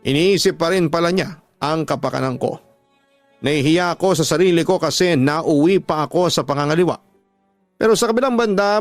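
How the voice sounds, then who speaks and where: A man's voice: on the high side, no room sound. A man narrating a radio drama, reading a listener's love-story letter aloud in an earnest, heartfelt way.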